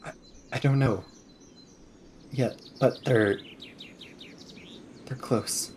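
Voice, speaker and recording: A man's voice: medium pitch, steady tone, extremely slow, darkish tone, a little hiss or hum. A man voicing a tense, watchful boy in a tabletop game, speaking tersely and cautiously.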